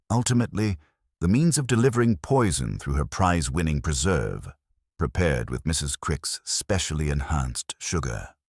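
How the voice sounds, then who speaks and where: A man narrating a mystery audiobook from a script in a measured, formal storytelling manner. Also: low voice, no room sound, studio quality.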